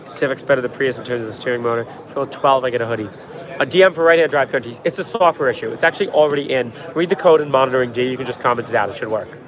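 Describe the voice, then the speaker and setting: A young man hosting a casual livestream from a trade show floor, answering chat in a quick, offhand, joking way, his voice worn from a cold. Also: medium pitch. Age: young adult. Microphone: phone held at arm's length. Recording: noisy crowded convention hall. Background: crowd chatter.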